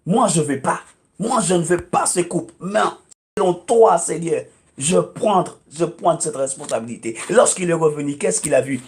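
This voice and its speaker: A man's voice: high voice, a little mellow. A man whose first language is English, speaking as a guest preacher on a talk show, emphatic and repetitive, with frequent hesitant "euh"s. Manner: emphatic, repeats phrases, many hesitant "euh"s.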